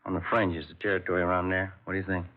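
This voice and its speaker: A man's voice: deep voice, flat delivery, rapid-fire. An actor playing an easygoing male police sergeant partner in a 1950s radio drama. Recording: tinny, hissy old radio broadcast.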